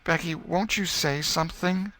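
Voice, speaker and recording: A man's voice: on the high side, moderate pace, sharp tone, pitched above the performer's own voice. A man voicing an eager, lovestruck American schoolboy who speaks in earnest, rustic, boyish phrases in an audiobook. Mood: pleading. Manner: earnest, rustic nineteenth-century boyish speech. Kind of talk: audiobook character reading.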